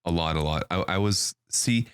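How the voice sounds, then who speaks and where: A man's voice: low-pitched, normal speed, clean tone, no echo, clean studio sound. A man from Germany, a native German speaker, chatting casually in English with blunt, slangy opinions and some swearing.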